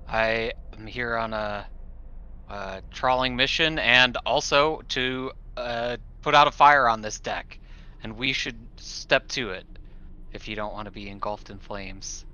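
A man's voice: medium pitch, unhurried, sharp tone, lo-fi sound, a little hiss or hum. A man playing a tabletop game, casually role-playing his character.

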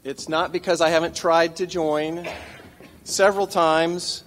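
A man in his sixties runs a town hall panel from a stand mic on a theater stage, chatty and joking with the panel. His voice sits a little high and carries a long hall reverb with a faint hum underneath. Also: steady tone, unhurried, fairly bright tone. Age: 60s. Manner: joking, conversational. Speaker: moderator.

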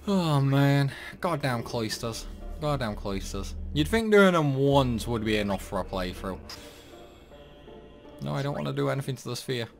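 A man's voice: mid-range voice, animated, normal speed, creaky. A man giving casual playthrough commentary on a video game, rambling along with grumbling asides. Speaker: gaming video host. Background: game music.

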